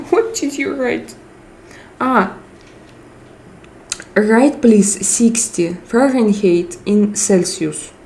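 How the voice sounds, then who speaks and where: A woman with a Russian accent chatting casually on a live stream, reading out viewer comments and hunting for English words with frequent pauses. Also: a little high, darkish tone, a little hiss or hum. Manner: slow, searching for English words with frequent hesitations.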